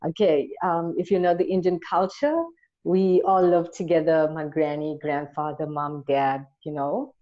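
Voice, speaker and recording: A woman's voice: low-pitched, little pitch movement, a little slow. A South African woman answering interview questions conversationally, with an earnest, enthusiastic manner. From Durban, South Africa. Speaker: interview guest, entrepreneur. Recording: dull, lo-fi audio.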